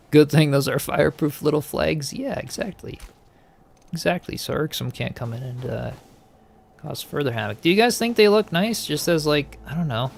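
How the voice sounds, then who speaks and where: A man's voice: fairly high pitch, animated, moderate pace, vocal fry. A man casually chatting while playing a game and answering viewers, easygoing and musing, often trailing off. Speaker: game streamer. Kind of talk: casual gameplay chat, reading viewer comments.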